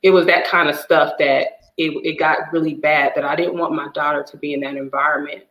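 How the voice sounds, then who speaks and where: A woman's voice: deep voice, fairly even intonation, fairly quick, a bit bright. Earnest woman, echoey room. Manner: earnest, emotional storytelling. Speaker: guest, divorce coach. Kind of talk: personal testimony in a talk show.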